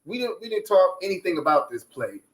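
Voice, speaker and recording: Higher-pitched man chatting in an echoey room. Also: big pitch swings, a little fast, a loud crowd. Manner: peppers talk with "you know what I'm saying". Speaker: guest from a stage play's team. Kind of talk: casual conversational testimony. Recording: echoey room, faint background noise.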